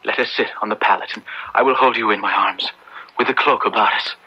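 Radio drama clergyman: tender, earnest, theatrical delivery with a husky edge, dull lo-fi vintage sound. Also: on the low side, steady tone, a little fast. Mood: tender, consoling. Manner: solemn, theatrical, gently fatherly. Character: earnest, tender young English-ordained clergyman comforting a prisoner. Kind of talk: old radio drama acting. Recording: dull lo-fi old radio recording.

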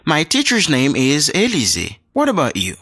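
Man reading lively textbook dialogues. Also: a little high, normal speed, breathy edge, no echo, clean studio sound. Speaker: textbook audio reader. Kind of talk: scripted dialogue reading for learners.

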